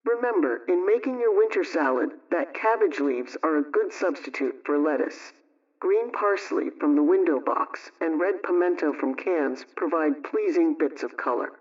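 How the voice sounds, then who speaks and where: A man playing a practical 1920s radio homemaking aunt, giving measured, instructive advice from a script. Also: on the high side, lively intonation, lightly acted. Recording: muffled, distant radio-style sound.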